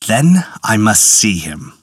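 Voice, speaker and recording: A man voicing a stern, suspicious male turtle chief who talks slowly and deeply. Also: very animated, breathy edge, no room sound, clean studio sound, exaggerated voice. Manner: slow, deep and commanding.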